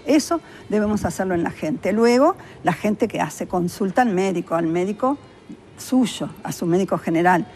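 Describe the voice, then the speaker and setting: A woman's voice: mid-range voice, lively intonation, moderate pace, a little mellow, slight background noise. A woman with a Uruguayan accent explaining things conversationally as an expert guest in an interview. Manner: conversational, illustrates points with imagined quotes. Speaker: public health official and microbiologist, interview guest. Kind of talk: spontaneous explanatory interview talk.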